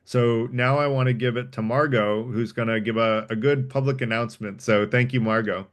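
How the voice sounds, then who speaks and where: A man's voice: medium pitch, steady tone, normal speed. Encouraging man hosting an online meeting. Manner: encouraging, reassuring. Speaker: meeting host. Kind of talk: casual, informal meeting talk. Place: online video call.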